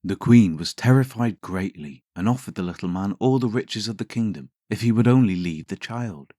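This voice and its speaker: A man's voice: fairly low pitch, expressive, moderate pace, mellow, no room sound, studio quality. A man narrating as a storyteller and host, theatrical and wry in his delivery. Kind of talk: dramatic fairytale narration with sardonic commentary.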